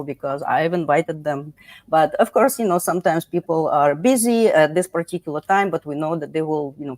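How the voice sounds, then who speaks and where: Chatty woman host, lowish voice. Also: a little mellow, no echo. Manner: chatty, filler-heavy, with many "you know"s. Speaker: livestream host. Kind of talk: hosting a live online talk. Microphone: video call.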